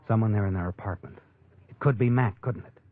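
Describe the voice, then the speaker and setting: A man's voice: on the low side, fairly quick, lightly acted. A man playing an all-knowing narrator of a radio suspense drama, taunting a guilty man with insinuating questions in the second person. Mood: ominous, knowing. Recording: vintage radio recording, narrow band, music behind.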